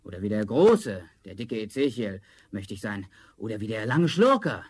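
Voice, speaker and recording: A man's voice: lowish voice, big pitch swings, normal speed, breathy edge, exaggerated voice. A man playing a discontented, envious young charcoal burner in a theatrical 1970s fairy-tale audio drama. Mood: envious, sulky.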